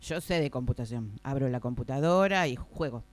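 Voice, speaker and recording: A woman's voice: rumbling low, expressive, moderate pace, dry recording. A woman with an Argentine accent playing a naive, pushy, flustered new office clerk who repeats herself and stammers, in a radio play. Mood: puzzled, evasive. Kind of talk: improvised radio play acting.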